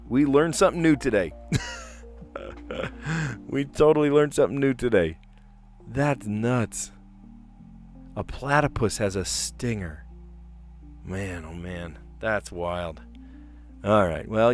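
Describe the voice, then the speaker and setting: A man in his forties, livestreaming himself solving word puzzles and thinking aloud, easily amazed and chuckling, close to a desk microphone at home. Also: medium pitch. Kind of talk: casual livestream commentary. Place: home room, indoors. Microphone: close podcast mic on a desk.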